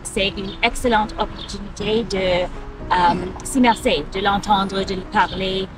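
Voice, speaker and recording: A woman's voice: medium pitch, fairly even intonation, a little slow, recorded from a distance. A woman giving a spontaneous testimonial, addressing listeners directly. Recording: off-mic voice under clear music.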